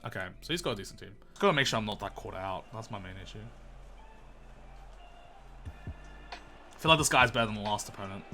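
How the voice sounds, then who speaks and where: A young man hosting a gaming video in a casual, chatty way, his voice slightly croaky and strained, and he bursts into loud excited shouts. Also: lowish voice, brisk, long pauses, faint music behind. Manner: chatty, calls viewers boys, erupts into loud excited shouts.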